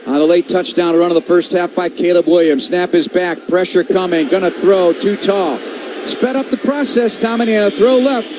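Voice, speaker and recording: A man with an American accent announces football play by play for radio. His voice sits well above the usual male pitch, and there is clear background noise of the venue around him. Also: normal speed. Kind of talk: live radio football commentary.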